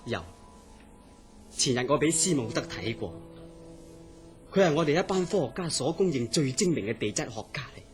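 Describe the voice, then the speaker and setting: An actor playing an earnest, mysterious scientist in a horror radio drama, telling his story in a serious, deliberate way. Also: fairly high pitch, sing-song. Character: earnest, mysterious scientist recounting uncanny dreams. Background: background music.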